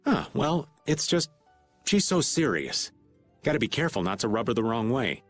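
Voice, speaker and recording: Casting: teasing, world-weary game drifter, folksy, very lively pitch, soft music behind. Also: male voice, normal speed. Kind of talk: video game voice acting.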